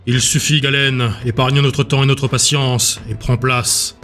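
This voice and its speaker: A man's voice: flat delivery, measured pace, a bit bright. A man voicing a stern, authoritative council president with a strong voice and a formal, impatient manner in an audio drama. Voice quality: strong booming voice. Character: stern, commanding, scarred male council president. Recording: music bed behind the voice.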